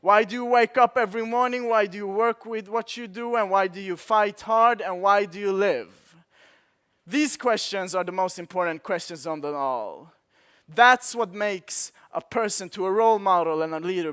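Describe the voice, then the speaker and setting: A man's voice: high voice, fairly bright tone, clean tone. A man in his twenties with a Swedish accent, giving an impassioned motivational keynote at a conference with rhetorical repetition. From Sweden. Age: 20s. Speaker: conference speaker. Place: conference hall.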